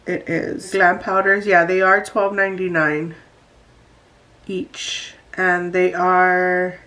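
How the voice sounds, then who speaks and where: Casting note: woman vlogger, stuffy nasal voice, slow unhurried chat. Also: fairly low pitch, little pitch movement, bright, forceful tone. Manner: chatty, talks straight to viewers. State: congested and nasal from allergies. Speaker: beauty vlogger. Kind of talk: casual unboxing vlog.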